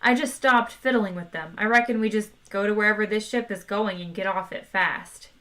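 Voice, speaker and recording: A harried male pilot speaks briskly and a little loudly, clipped and urgent. A woman reading the tale plays him. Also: mid-range voice, natural voice.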